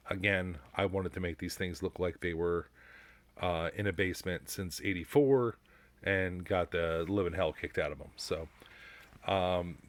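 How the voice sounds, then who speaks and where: A middle-aged man chatting casually about his hobby after a few beers, rambling and halting with mild swearing. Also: low voice. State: has had a few beers. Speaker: hobbyist prop builder presenting a show-and-tell. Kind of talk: casual, unscripted hobby chat.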